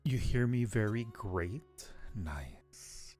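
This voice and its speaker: A man's voice: on the low side, animated, drawn-out words, warm tone, faint music behind. A man chatting casually and playfully with viewers on a livestream, teasing them affectionately. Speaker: live streamer hosting a chat.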